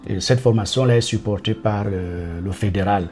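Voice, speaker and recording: Measured man. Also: fairly low pitch, over music. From Cameroon. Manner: measured, careful, frequent hesitations. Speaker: teacher guest. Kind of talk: interview answers.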